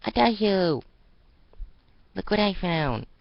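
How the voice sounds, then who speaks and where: Guy doing a bubbly excitable girl, way up high, sweet n eager, storybook reading. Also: takes it slowly, gravelly, highs cut off, a little hiss or hum, heavily acted. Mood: excited.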